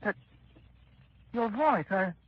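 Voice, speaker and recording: A frail, stammering old prisoner. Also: male voice, sits high, animated, slow pace, slightly rough, no room sound, heavily acted. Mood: weary. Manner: hesitant, stammering. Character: frail old released prisoner, weary and bitter. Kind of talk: 1930s radio drama acting. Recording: dull old radio broadcast.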